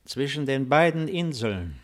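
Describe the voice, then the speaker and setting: An actor playing a brooding, commanding Roman ruler in a 1950s German radio play, musing coldly in measured phrases with deliberate pauses. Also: male voice, mid-range voice, sing-song.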